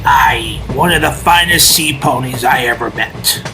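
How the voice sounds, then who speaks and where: Man voicing a slow, ominous sea pony doomsayer. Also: mid-range voice, loud music behind the voice, close to an everyday voice. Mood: ominous, wistful. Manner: ominous, theatrical, portentous. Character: gloomy, eccentric male sea pony doomsayer. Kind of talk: animated cartoon voice acting.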